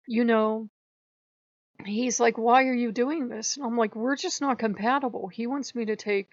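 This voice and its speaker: A hoarse woman hosting her channel, telling a story in a rambling, casual way and stopping to clear her throat. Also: medium pitch, dry recording. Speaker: channel host. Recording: dull lo-fi recording.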